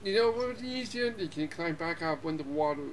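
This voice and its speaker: Excitable young gamer guy chatting. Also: a little high, fairly quick, poor audio. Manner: excitable, laughs often, talks over others. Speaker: gameplay channel host. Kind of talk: casual multiplayer gaming chat.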